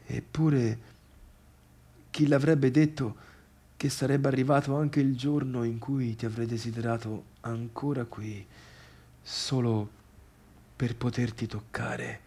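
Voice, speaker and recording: A man's voice: medium pitch, steady tone, takes it slowly, creaky, pauses often, mellow, husky, full character voice. A man playing a bitter adult son in a theatrical monologue, intense and reproachful, at times sinking to a near-murmur. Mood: bitter, wounded. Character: tired, bitter adult son confronting his overbearing father.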